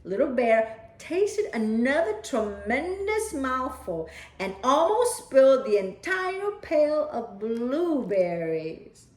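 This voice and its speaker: A woman reading and talking to children as a storyteller, with deliberate, emphatic phrasing. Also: high voice, sing-song, a little mellow. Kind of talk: children's read-aloud storytelling. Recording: echoey, roomy sound.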